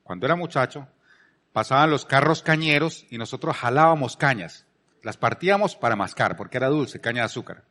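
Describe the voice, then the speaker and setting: A man's voice: medium pitch, lively intonation, fairly quick, pushed and bright. A man from Colombia with a Colombian accent, giving a conversational sermon as a preacher, repeating phrases for emphasis and posing rhetorical questions. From Palmira, Colombia.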